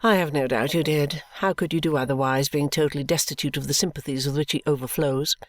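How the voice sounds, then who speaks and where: A woman's voice: fairly low pitch, brisk, no room sound, clean studio sound. A woman doing a serene, dismissive mother. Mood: complacent. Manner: calm, clear, complacent and coolly dismissive. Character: serene, dismissive middle-aged mother, absorbed in work.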